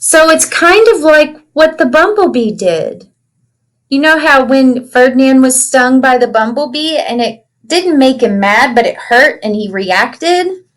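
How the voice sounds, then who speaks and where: Female children's read-aloud teacher: high register, very measured pace, animated delivery, chatty asides to young listeners. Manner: animated storytelling, asking children simple questions.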